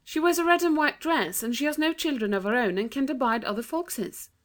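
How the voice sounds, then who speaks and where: A woman's voice: high-pitched, a little fast, voice pushed up, lightly acted. A woman voicing a sensible, cautious girl who speaks earnestly. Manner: earnest, warning.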